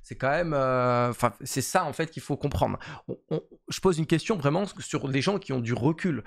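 A man in his thirties giving casual esports commentary to camera from a home streaming room, talking quickly with many fillers and repeated phrases. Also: mid-range voice, creaky. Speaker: esports YouTuber. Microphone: desk mic on boom arm.

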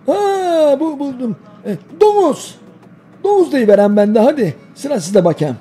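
A man's voice: very high-pitched, big pitch swings, measured pace, warm tone, slightly rough, heavily acted. A man voicing an affectionate, playful old village grandfather in a cartoon, with folksy, doting talk in a Denizli Aegean Turkish accent. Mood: playful, encouraging. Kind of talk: cartoon voice acting.

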